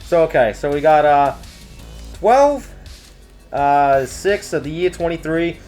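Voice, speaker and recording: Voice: mid-range voice, expressive, slow pace, a little mellow, faint music behind. A co-host of a casual news show who rambles jokily through announcements, breaking into goofy exclamations. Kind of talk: casual comedic banter while reading event announcements.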